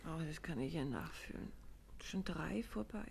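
A woman playing a detective's curious, shrewd and affectionate wife in a 1950s German radio play, conversational and gently probing. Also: deep voice, animated, moderate pace, creaky, slight background noise. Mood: sleepy, inquisitive.

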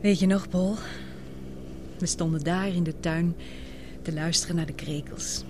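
A woman's voice: on the low side, steady tone, measured pace. Anxious, tender young woman, noisy recording. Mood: wistful. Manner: emotional, pleading. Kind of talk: radio drama acting in Dutch.

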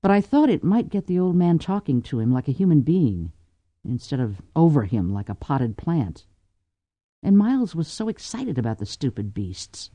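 A man voicing a sharp-tongued, anxious mother with a wry, exasperated manner, in an audiobook. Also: on the high side, very animated, normal speed, no echo. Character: anxious, sharp-tongued mother, dryly teasing aristocratic woman. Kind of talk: audiobook character voice.

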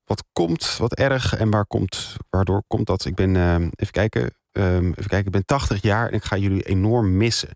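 A man's voice: on the low side, normal speed, vocal fry, hardly any pauses, darkish tone, dry recording, studio quality. A man with a Dutch accent hosting a live phone-in radio show, chatting and reading out listener messages. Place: radio studio.

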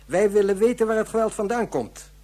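A man's voice: high-pitched, expressive, fairly quick, a little mellow. A man playing a grave, articulate police inspector in a science fiction radio drama, explaining things in rhetorical questions. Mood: emphatic, rhetorical.

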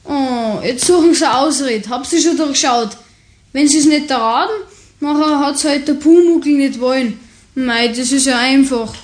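Young boy, high voice, Bavarian dialect, shy, polite, doubting, children's radio play. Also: unhurried. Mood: skeptical.

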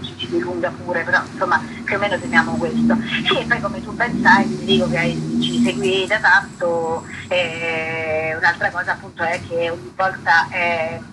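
A woman's voice: fairly low pitch, steady tone, normal speed, hardly any pauses, very noisy. A woman comedian speaking spontaneously as an interview guest, often searching for words as she explains. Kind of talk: spontaneous conversational radio interview.

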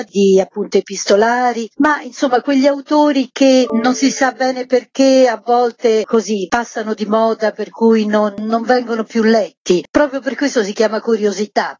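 A woman's voice: on the high side, darkish tone, no echo. A woman, a theatre artistic director, explaining a project in a discursive interview style full of small asides. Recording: dull, band-limited radio interview.

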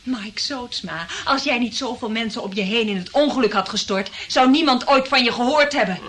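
Actress as a high-voiced, cutting, dramatic woman. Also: moderate pace, fairly bright tone, a little hiss or hum, close to an everyday voice. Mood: contemptuous. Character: scornful, disgusted woman turning on her lover. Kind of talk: radio play acting.

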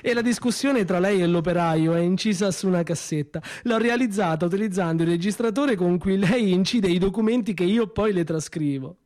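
Actor as a high-pitched, defiant male secretary. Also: fairly quick, hardly any pauses, darkish tone, no room sound, clean studio sound, natural voice. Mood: grave, defiant. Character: bitter, defiant secretary denouncing his boss. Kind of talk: theatrical monologue in a radio play.

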